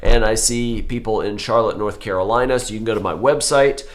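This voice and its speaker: A man in his forties, an orthopedic surgeon hosting a live health show, with a voice going hoarse from a cold, rambling and correcting himself with many fillers. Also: on the low side, fairly even intonation, fairly quick. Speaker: host.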